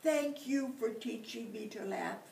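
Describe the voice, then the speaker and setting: A woman voicing a big grumpy male bear in a storybook, with a high, breathy, sobbing voice. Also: huge pitch swings, very slow, a little mellow, slight background noise, heavily acted. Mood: grateful. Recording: roomy, distant mic.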